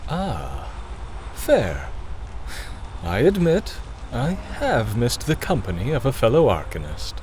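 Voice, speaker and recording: An actor playing a formal, reserved elven mage in a fantasy audio drama, courteous and somewhat hesitant. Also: male voice, mid-range voice, huge pitch swings, slow, darkish tone, slight background noise. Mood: polite, warming.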